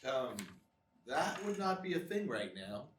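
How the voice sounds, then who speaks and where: An actor in a live script reading playing a dry, understated member of a science team. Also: male voice, a little high, very animated, unhurried. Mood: dry, uneasy. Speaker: actor in a live screenplay table read. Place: small live theatre stage. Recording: distant, echoey, noisy live theatre recording.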